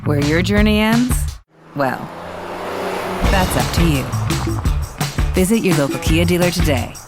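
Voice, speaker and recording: A woman's voice: lowish voice, lively intonation, a little slow. A woman reading a scripted car commercial voice-over as an announcer. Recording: loud music bed under voice. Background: loud music, vehicle sounds.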